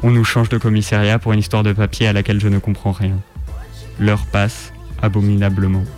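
Male narrator for radio fiction, lowish voice, dramatic first-person reading, faint music underneath. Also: completely flat delivery, brisk, darkish tone.

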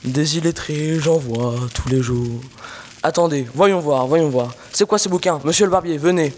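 Teenage boy as a pompous, higher-voiced old priest. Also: normal speed, darkish tone, lightly acted. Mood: disdainful. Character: grumbling, sanctimonious old village priest. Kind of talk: student audio drama acting.

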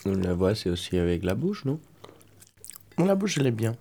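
Casual male talker, gravelly and lowish, lively melody, short spontaneous phrases, faint noise. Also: moderate pace. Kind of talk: playful casual chat.

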